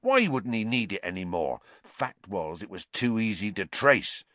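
A man's voice: on the low side, lively intonation, moderate pace, fairly bright tone, dry recording. A man voicing a big, fierce, loyal groundskeeper in a storybook reading. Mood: bitter, hurt. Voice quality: growling.

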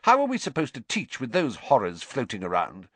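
A man voicing a stern, brisk older female schoolteacher with a sharp, precise manner in a storybook. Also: mid-range voice, wildly swooping pitch, slightly rough, pitched above the performer's own voice, full character voice. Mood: exasperated.

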